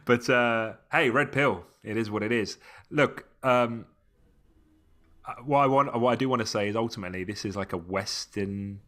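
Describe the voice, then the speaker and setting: Deep-voiced emphatic man from Tunisia. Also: moderate pace. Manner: animated, emphatic, restarts phrases. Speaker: podcast co-host. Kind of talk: casual podcast chat.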